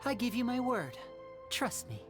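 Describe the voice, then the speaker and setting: A woman voicing a confident, courteous young male magician in a video game, smooth and earnest. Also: a little high, sing-song, normal speed. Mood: earnest, reassuring. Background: game music.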